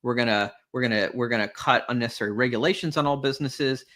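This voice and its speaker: Bright-voiced talkative male host. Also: mid-range voice, fast. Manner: animated, rambling, many fillers. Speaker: podcast host. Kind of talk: conversational interview.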